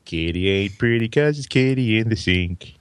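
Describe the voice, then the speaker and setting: A man's voice: on the low side, expressive, normal speed, background noise. A man performing a silly comic novelty song.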